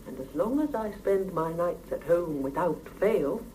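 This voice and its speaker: A woman's voice: fairly low pitch, lively intonation, measured pace, a little mellow, far from the mic, a little hiss or hum, poor audio. An actress in a 1970s radio drama playing a blunt, world-weary Soviet single mother, on an old cassette recording. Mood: bitter, resigned.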